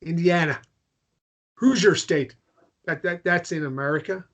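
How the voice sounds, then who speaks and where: A man's voice: a little high, a little slow, a bit bright. Awkward, stammering American traveller, played by a man. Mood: polite, sheepish. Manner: hesitant, stammering. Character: awkward, apologetic, melancholy American traveller from a small town. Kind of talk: drama table read.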